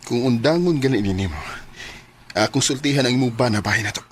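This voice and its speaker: A man's voice: medium pitch, a little fast, background noise, lightly acted. An actor playing an insistent, threatening young male lover in a radio drama. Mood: defiant, threatening. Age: young adult.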